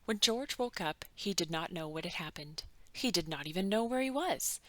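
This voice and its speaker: A woman narrating a children's bedtime story with animated, dramatic emphasis and drawn-out words. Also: lowish voice, dry recording. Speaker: children's book read-aloud narrator.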